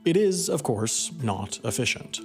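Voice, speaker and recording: A man's voice: medium pitch, big pitch swings, measured pace, gravelly, over music. A man reading scripted explainer narration as a narrator.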